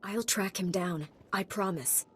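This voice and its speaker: A woman playing a composed, resolute knight heroine in a video game, calm and direct. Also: mid-range voice, unhurried, a little hiss or hum, close to an everyday voice. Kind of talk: video game cutscene acting.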